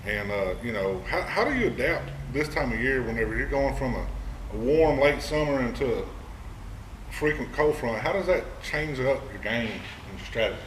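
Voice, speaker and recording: A man's voice: medium pitch, expressive, normal speed, far from the mic. A man hosting the show, chatting in a friendly, easygoing, folksy way. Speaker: host. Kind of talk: casual chat. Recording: echoey room, mic held back, faint noise.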